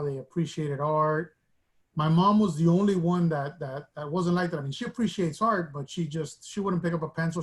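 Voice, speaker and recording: Man from Miami, interview guest, fairly high voice, reflective storyteller who restarts his sentences. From Miami, United States. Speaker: guest artist.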